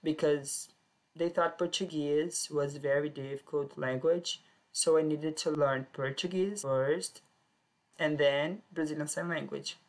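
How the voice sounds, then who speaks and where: A woman telling her own life story in plain, simple sentences with short pauses. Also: deep voice, fairly even intonation, a little mellow. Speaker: personal storyteller. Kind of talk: first-person life story.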